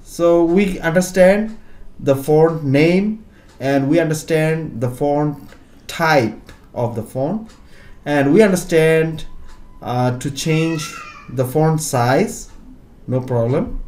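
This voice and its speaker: A man's voice: a little high, slow pace, long pauses, darkish tone. A middle-aged man teaching a computer lesson one on one, explaining patiently step by step. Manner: patient, explaining step by step, non-native phrasing. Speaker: computer tutor.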